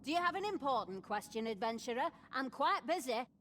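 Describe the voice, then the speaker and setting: Highish-voiced, brusque kitchen nun. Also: female voice, very animated, a little fast, fairly bright tone. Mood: curt, defensive. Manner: curt, defensive. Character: brusque, suspicious, judgmental nun who cooks in the kitchen. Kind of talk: voiced video game dialogue.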